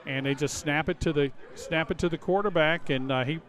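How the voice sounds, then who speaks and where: A man's voice: a little high, brisk, fairly bright tone. A man giving live analytical commentary on a football game, explaining plays in a conversational way. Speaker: color commentator.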